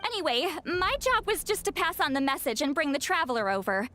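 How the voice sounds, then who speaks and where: An actress playing a proud, easily flustered young female shaman in a video game, expressive and huffy. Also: sits high, a little fast, exaggerated voice. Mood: huffy, sleepy. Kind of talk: scripted video game dialogue acting.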